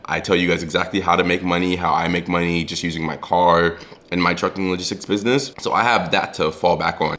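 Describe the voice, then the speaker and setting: A man's voice: low voice, fairly bright tone. A man in his twenties talking casually and earnestly to his viewers, often calling them guys. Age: 20s. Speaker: vlogger. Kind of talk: casual direct-to-camera talk. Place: Los Angeles.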